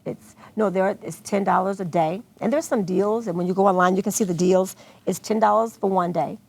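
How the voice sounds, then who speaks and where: A woman's voice: mid-range voice, fairly even intonation, a little fast, vocal fry, a little mellow. An American woman answering questions as a guest organizer in an unscripted television interview. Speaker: guest, arts festival organizer.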